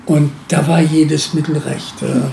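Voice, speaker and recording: A man's voice: on the high side, little pitch movement, a little slow, creaky, warm tone, reverberant, a little hiss or hum. An older man, a former museum director, recalling his past work in an interview, hesitant and full of filler sounds. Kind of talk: interview recollection.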